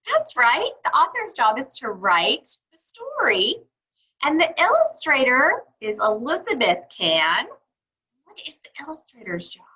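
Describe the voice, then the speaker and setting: A woman reading a picture book aloud to young children in a teacherly, expressive way, putting questions to her listeners. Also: on the high side, breathy edge, poor audio. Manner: teacherly, asks questions and answers them; exaggerated, expressive child-directed delivery.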